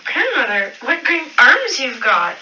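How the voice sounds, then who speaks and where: A woman's voice: sits high, big pitch swings, a little slow, sharp tone, dull audio, slight background noise, full character voice. A woman playing an innocent, polite young girl in a fairy-tale radio play, speaking with sing-song wonder.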